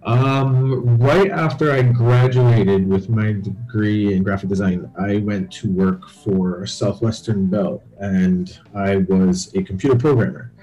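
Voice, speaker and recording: A man's voice: fairly low pitch, steady tone, takes it slowly, few pauses, warm tone, lo-fi sound, faint music behind. A man from Barbados, a guest on a podcast, telling stories from his upbringing in a reflective way. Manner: reflective, storytelling. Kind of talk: interview conversation.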